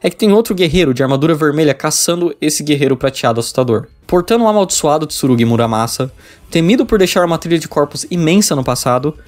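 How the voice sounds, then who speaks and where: A man's voice: fairly high pitch, expressive, fast talker. A man with a Brazilian accent giving scripted narration for a video essay.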